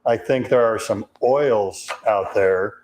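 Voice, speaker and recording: A deep-voiced man in his fifties with a Chicago accent, hosting a live woodworking question session. He reads viewer questions aloud in a careful, measured way. His voice bounces around a roomy shop space. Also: darkish tone, slight background noise. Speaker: host. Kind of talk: live Q&A chat.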